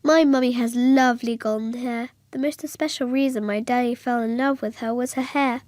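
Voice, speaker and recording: Woman acting an earnest little schoolgirl, high-voiced. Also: fairly even intonation, a little fast, darkish tone, no echo, clean studio sound, lightly acted. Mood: earnest, dreamy. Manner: naive, literal, childlike phrasing. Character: young schoolgirl, earnest, imaginative, innocent. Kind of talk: radio drama narration.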